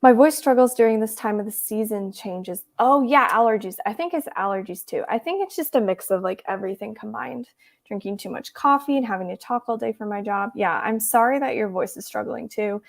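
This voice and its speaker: A hoarse young woman in her twenties hosting a casual reading livestream, chatty and giggly as she reads viewer comments, trying to talk more quietly than usual. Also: a little high, brisk. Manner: tries to speak softer than usual. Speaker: booktube livestream host. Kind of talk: casual chat with live viewers.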